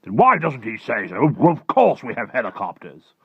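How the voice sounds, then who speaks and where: A man voicing an indignant storybook Air Force chief with loud, clipped military delivery. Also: mid-range voice, huge pitch swings, moderate pace, creaky, breathy edge, no room sound, lo-fi sound, voice pushed up, full character voice. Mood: proud, irritated.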